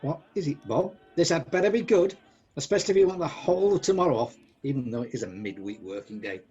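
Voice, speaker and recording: Guy doing grumpy old miser, husky voice swooping all over, sarcastic radio play stuff, bit muffled. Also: fairly low pitch, animated, a little fast, warm tone, faint music behind. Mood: irritable nagging. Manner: sarcastic, blustering, scornful. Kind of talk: comic radio drama acting.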